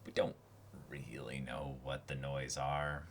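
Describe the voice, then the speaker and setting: A man co-hosting a reaction show, casually thinking out loud and spinning speculative theories. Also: very deep voice, little pitch movement, takes it slowly, slight background noise. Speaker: co-host of an anime reaction show. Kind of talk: casual discussion.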